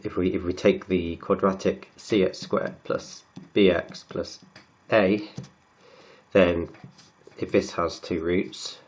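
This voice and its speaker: A man with a cold, croaky and sniffly, working slowly through textbook maths and often repeating words as he writes. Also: low voice, fairly even intonation, lo-fi sound. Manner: halting, repeats words while writing. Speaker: maths tutor.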